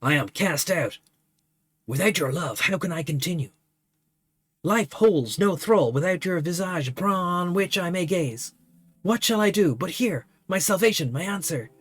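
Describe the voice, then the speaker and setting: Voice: medium pitch, expressive, a little slow, slightly rough, no echo. A reader voicing Liaya, a heartbroken young woman in a stage tragedy, wailing in an overwrought, hammy way. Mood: despairing.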